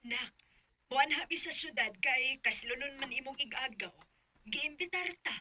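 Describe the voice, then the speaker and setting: A woman's voice: high voice, expressive, normal speed, bright, forceful tone, heavily acted. An actress playing a mother passing on family news in a radio drama, with a Cebuano Filipino accent. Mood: informative. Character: young woman's mother sharing family news.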